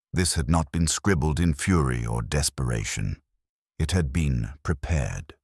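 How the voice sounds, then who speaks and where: A man narrates a detective story from a script in an extremely low voice. His tone is husky, the intonation moves in lively sweeps, and the sound is close and dry. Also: dry recording. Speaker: audiobook narrator.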